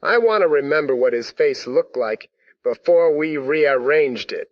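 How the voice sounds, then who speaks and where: A man's voice: on the high side, animated, unhurried, no echo. Man acting a sneering radio gangster, dull sound. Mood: gloating. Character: bullying male gangster thug, sneering and menacing.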